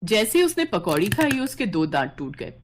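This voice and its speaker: A woman narrates a scripted story in a lively, swinging voice. Her pitch is middling for a woman and there is noticeable noise on the recording. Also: normal speed.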